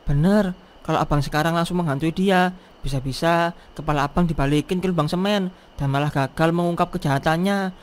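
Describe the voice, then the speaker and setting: A man's voice: on the high side, a little fast, clean tone, lightly acted. A man voicing the earnest, helpless ghost of a sacrificed labourer in a comic horror cartoon. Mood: explaining, resigned.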